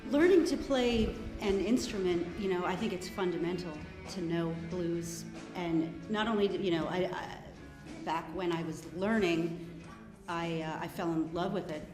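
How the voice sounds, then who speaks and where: A woman band member answering thoughtfully in a casual interview, pausing and searching for words. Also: on the low side. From United States. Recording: echoey room with music behind.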